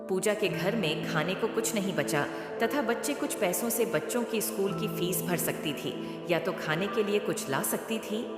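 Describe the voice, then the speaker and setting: A woman's voice: medium pitch, moderate pace. Woman storyteller in long reverb. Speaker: story narrator. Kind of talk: scripted narration of devotional moral story. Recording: echoey, long reverb, music bed. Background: clear music.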